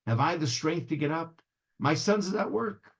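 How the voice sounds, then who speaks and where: A man's voice: a little high, lively intonation, measured pace, creaky, slightly rough, heavily acted. A man voicing a frightened, sick, poor widow in a storytelling, plaintive and questioning. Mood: bitter complaining. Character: frightened, sick, poor old-world widow, bitterly complaining.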